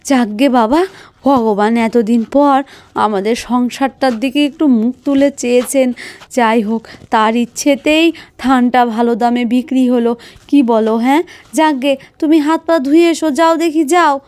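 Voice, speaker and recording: A high-pitched village wife and mother played by a woman from a doll cartoon. She is harried and devout, nagging and exclaiming as she repeats her phrases. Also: moderate pace, darkish tone, close to an everyday voice. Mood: relieved. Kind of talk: Bengali doll cartoon voice acting.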